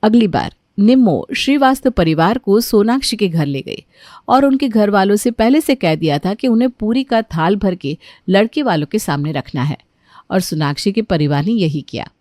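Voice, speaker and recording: A woman's voice: medium pitch, lively intonation, moderate pace, a little mellow, no echo, studio quality. A woman narrator reading a scripted cartoon story.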